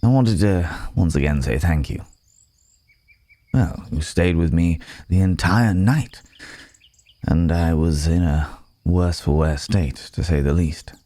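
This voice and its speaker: A man plays a courteous werewolf in human form for an ASMR audio roleplay. His voice is extremely low and mellow, and he talks slowly and haltingly. He comes across as grateful and apologetic, with old-fashioned politeness, in a close, dry recording. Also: dry recording. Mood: grateful, bashful. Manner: hesitant, halting, polite, soft chuckles, old-fashioned wording.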